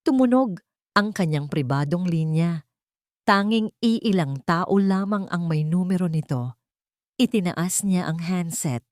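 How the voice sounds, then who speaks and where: A woman's voice: lowish voice, unhurried, darkish tone, no room sound, clean studio sound. A woman reading a dramatic scripted story as an audiobook narrator.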